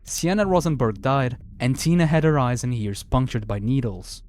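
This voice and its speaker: A man's voice: medium pitch, moderate pace, darkish tone, dry recording. A man narrating a scripted video review of a horror series as its host.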